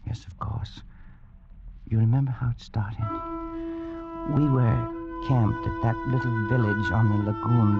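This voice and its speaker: An actor in an old radio drama playing a broken, half-mad Englishman who stammers between whispers and panicked pleading. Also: fairly low pitch. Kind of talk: 1940s radio drama acting. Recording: muffled old radio broadcast.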